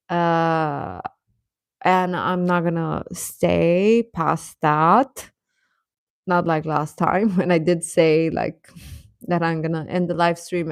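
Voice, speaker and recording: Low-voiced woman, slow casual streamer. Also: dry recording, studio quality. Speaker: live stream host. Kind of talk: casual live stream chat with viewers.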